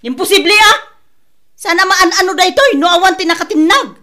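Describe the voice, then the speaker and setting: A woman playing a quick-tempered, loud-mouthed household nag who scolds and complains in a comedy radio drama. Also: extremely high pitch. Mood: scolding.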